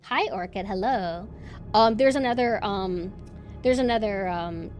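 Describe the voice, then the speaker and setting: A woman streamer with a monotone voice, chatting casually and rambling with lots of fillers and restarts. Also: mid-range voice, a little slow, soft background music. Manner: rambling, self-deprecating, many fillers and restarts. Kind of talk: casual chat.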